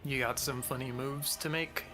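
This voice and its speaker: A man's voice: medium pitch, steady tone, moderate pace, over music. A man chatting casually as a player in a tabletop role-playing game.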